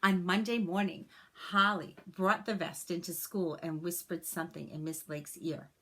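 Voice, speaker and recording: A woman reading a children's story aloud in an expressive, deliberate way. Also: on the low side. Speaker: storybook reader. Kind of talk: children's read-aloud with personal asides.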